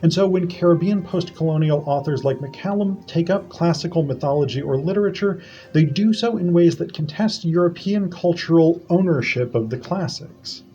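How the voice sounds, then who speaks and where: A man reads aloud a prepared academic paper, formal and measured. His voice sits a little high but sounds mellow and warm. The audio is dull and lo-fi. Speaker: academic presenter. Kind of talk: reading a prepared conference paper.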